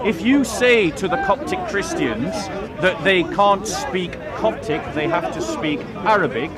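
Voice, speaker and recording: High-voiced insistent man debating outdoors. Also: lively intonation, unhurried. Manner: insistent, argumentative, repeats himself. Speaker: street debater. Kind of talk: impromptu public religious debate. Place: outdoors at a public speakers' corner. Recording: outdoors amid loud crowd chatter.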